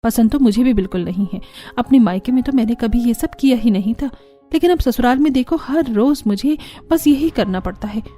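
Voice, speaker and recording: Lady playing grumbly tired elder sister-in-law in a cartoon, resigned, mellow voice, music under. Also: fairly high pitch, fairly quick, lightly acted. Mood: weary grumbling.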